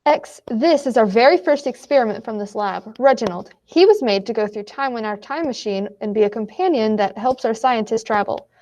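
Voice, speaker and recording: A woman's voice: on the high side, brisk, hardly any pauses, darkish tone, lightly acted. A teenage girl in a school radio play acting an earnest, patient lab scientist who explains things seriously. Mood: explanatory.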